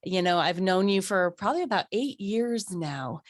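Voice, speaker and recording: A woman hosting a podcast interview, a little raspy from a cold, upbeat and affirming. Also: medium pitch, animated, normal speed, no echo. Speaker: podcast host. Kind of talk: warm conversational interview.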